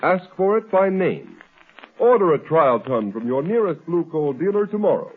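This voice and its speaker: A man's voice: on the high side, sing-song, normal speed, husky. A man announcing a 1930s radio commercial in an emphatic, formal sales delivery. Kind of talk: 1930s radio advertising read. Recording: old 1930s radio broadcast, narrow band.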